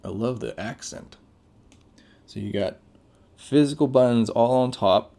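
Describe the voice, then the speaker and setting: A man's voice: medium pitch, unhurried, gravelly, dark tone. A man giving casual, off-the-cuff unboxing commentary as a tech reviewer, laid-back and informal with plenty of slang.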